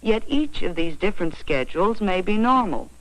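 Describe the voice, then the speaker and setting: An American woman narrating an educational film in a measured, explanatory, carefully phrased way, on a vintage 1940s soundtrack. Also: mid-range voice, animated, no echo, poor audio. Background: faint music.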